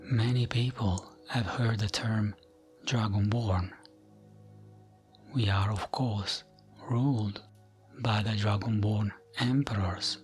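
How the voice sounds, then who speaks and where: A man softly reading fantasy lore as ASMR in a breathy, often whispered voice, with a gentle, soothing manner and frequent pauses. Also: lowish voice. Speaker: ASMR gaming channel host.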